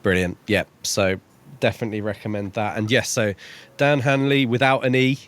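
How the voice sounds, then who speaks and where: A man's voice: lowish voice, steady tone, normal speed, fairly bright tone. A man hosting a podcast, chatting casually as he runs an interview. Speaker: podcast host.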